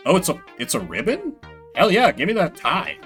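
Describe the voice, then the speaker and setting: A man's voice: a little high, huge pitch swings, normal speed, fairly bright tone. A man doing live game commentary in a playful, sweary way, reading bits of text aloud and chatting with viewers. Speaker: game streamer. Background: video game music.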